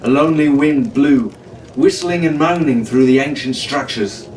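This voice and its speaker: A man's voice: mid-range voice, moderate pace, darkish tone. A man reading an adventure story aloud as scripted audiobook narration. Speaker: audiobook reader. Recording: echoey room, distant mic, faint hiss.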